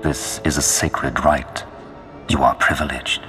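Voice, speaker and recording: An actor voicing a menacing Sith lord in a video game, speaking in a grandiose, commanding way. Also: male voice, very deep voice, steady tone, unhurried, sharp tone, faint music behind, heavily acted. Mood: ominous, commanding. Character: menacing male Sith lord of a dark empire. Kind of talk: video game villain acting. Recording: long cavernous reverb.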